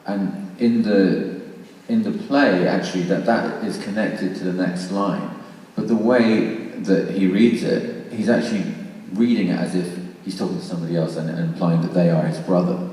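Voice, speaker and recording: A man's voice: low voice, fairly even intonation, moderate pace, mellow. A man speaking informally and thoughtfully, explaining his views in a panel discussion. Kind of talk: spoken panel commentary, informal. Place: large reverberant room at a public discussion. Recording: very echoey hall, distant mic, faint noise.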